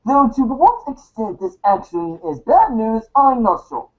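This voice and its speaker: A woman playing a verbose, dryly self-important guide writer, delivering a formal, arch monologue for a storybook show, halting in places. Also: fairly high pitch, big pitch swings. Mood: dry, self-satisfied. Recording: dull, lo-fi recording.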